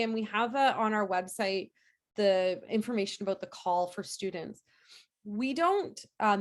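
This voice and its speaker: A woman professor answering audience questions after a lecture, hoarse from a cold, with lots of fillers and restarts. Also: medium pitch. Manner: hesitant, many fillers and restarts. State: hoarse, getting over a cold. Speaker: nursing professor giving a lecture.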